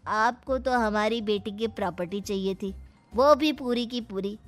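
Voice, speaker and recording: A woman's voice: medium pitch, measured pace, close to an everyday voice. A woman voicing a sly, taunting middle-aged father in a cartoon story. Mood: smug. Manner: taunting, smug. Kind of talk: cartoon voice acting.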